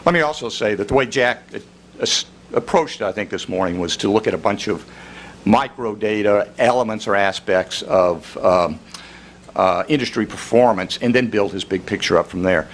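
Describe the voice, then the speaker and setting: Male academic commentator, fairly low voice, formal and halting, light noise behind. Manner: measured, hesitant, formal. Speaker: panel commentator. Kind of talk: unscripted academic discussion remarks.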